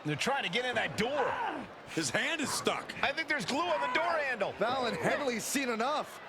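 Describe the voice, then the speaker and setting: A man's voice: extremely high pitch, very animated, moderate pace, exaggerated voice. A man acting as an urgent, emphatic pro wrestling TV commentator in a video game, calling the action play-by-play. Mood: urgent, excited. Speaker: sports commentator. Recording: very noisy arena ambience.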